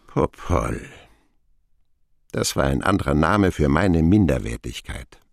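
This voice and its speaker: A wry man narrating memories of his boyhood in a radio play. His voice is deep and creaky, swinging widely in pitch as he tells it with sardonic expression. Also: expressive, unhurried, dry recording, clean studio sound, heavily acted. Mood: bitter, sardonic. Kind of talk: scripted radio drama narration.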